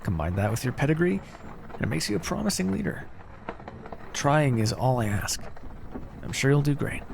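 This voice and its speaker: A man playing a kindly, encouraging necromancer in an ASMR fantasy roleplay, speaking in a gentle, reassuring, conversational way. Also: medium pitch, sing-song, normal speed, vocal fry, a little hiss or hum. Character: kindly, encouraging necromancer master, earnest and casual.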